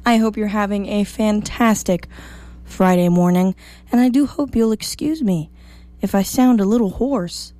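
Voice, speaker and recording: A woman hosting a morning radio show, slightly hoarse, chatty and upbeat as she reads the weather. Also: medium pitch, normal speed, warm tone, no echo. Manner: chatty, upbeat, self-joking. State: a little hoarse.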